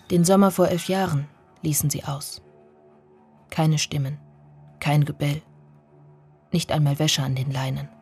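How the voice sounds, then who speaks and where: An actress playing an observant neighbour who recalls a family, in measured literary radio drama narration. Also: female voice, deep voice, gravelly, darkish tone. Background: music.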